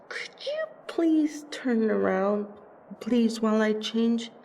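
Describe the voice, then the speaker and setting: A woman voicing a proud, protective female vampire princess in an audio roleplay, with wry, deliberate, theatrical phrasing. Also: medium pitch, dark tone, a little hiss or hum. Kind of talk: ASMR audio roleplay acting.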